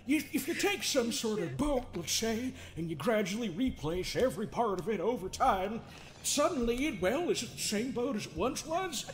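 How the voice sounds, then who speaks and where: Sardonic male therapist, high voice, extremely animated, theatrical, folksy, mocking, comedic game dub. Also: moderate pace, rough recording. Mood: mock philosophical. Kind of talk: improvised comedic fandub of a horror game.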